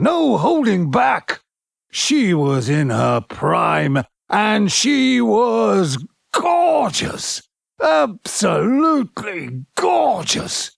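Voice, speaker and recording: A man playing an amorous, poetry-loving British lover in an audio drama, measured, romantic and wry. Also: sits high, creaky, heavily acted. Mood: wistful, impassioned.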